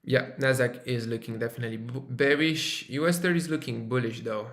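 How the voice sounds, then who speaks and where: Man, from Romania, live-stream host, casual, thinks aloud, uses lots of fillers, medium pitch, echoey room. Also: brisk. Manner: relaxed, thinking aloud with many fillers and restarts. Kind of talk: casual live-stream chat, reading viewer questions.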